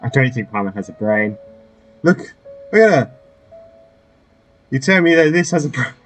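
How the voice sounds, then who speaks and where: A man's voice: medium pitch, lively intonation, moderate pace. A man giving casual, jokey, reactive commentary while he plays a video game. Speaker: gamer playing and commenting. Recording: game music behind.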